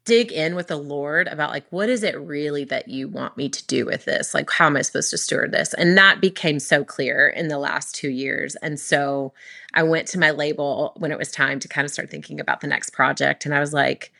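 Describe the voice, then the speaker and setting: A young adult woman, a worship singer, tells her story in an interview, talking quickly and animatedly with frequent restarts. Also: low voice, vocal fry, sharp tone. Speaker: worship singer and recording artist.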